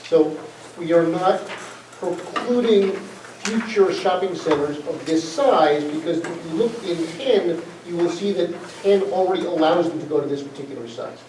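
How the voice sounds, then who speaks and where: A man calmly explaining zoning regulations at a public hearing. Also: fairly high pitch. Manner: measured, explanatory. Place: town meeting room. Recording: echoey hall from far off with noise.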